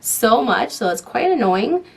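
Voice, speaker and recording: A woman's voice: fairly high pitch, sing-song, measured pace, gravelly, low-quality recording. Young woman with a cold, chatty. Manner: chatty, enthusiastic, talks while applying makeup. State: voice affected by a cold. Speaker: beauty vlogger. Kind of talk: casual makeup tutorial talk.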